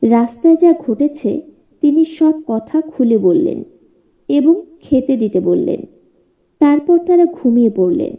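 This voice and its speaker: Woman storyteller with a very soft, mellow tone. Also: high voice, lively intonation, a little slow. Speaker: story narrator. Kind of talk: scripted cartoon moral story narration.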